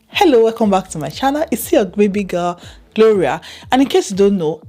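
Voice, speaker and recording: A woman's voice: medium pitch, very animated, brisk, dark tone, faint music behind. A woman in her thirties from Nigeria with a Nigerian accent, chatting casually to camera as a vlogger and repeating phrases for emphasis.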